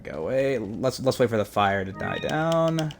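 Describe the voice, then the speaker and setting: A man's voice: medium pitch, lively intonation, unhurried, vocal fry, slight background noise. A man streaming a video game, chatting casually with viewers in a profane, joking, rambling way. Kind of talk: casual live-stream commentary.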